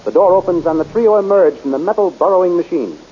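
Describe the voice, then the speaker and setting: A man's voice: high voice, expressive, fast talker. Dramatic radio serial narrator, man. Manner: dramatic and urgent. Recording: muffled old radio recording with clear hiss.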